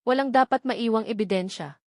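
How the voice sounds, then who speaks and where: A woman's voice: a little high, normal speed, darkish tone, no echo, close to an everyday voice. A woman plays a ruthless Filipino crime boss in an audio drama. He is a commanding villain who gives cold orders, recorded dry and close. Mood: alarmed, commanding.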